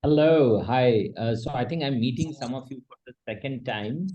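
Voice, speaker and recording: A man's voice: mid-range voice, moderate pace, warm tone, muffled recording. A man, an investor and protocol lead, introducing himself on a video call.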